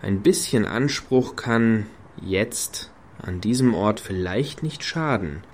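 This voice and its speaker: An actor playing a wry, playfully high-minded club activist in a radio play, dry and mock-solemn. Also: male voice, animated, takes it slowly, slight background noise. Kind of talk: German radio play acting.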